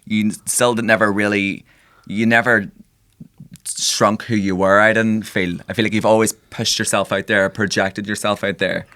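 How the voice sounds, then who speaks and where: A man's voice: lowish voice, flat delivery, normal speed, a bit bright. A young man, hosting a podcast in casual, rambling chat full of laughs and fillers. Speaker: podcast host.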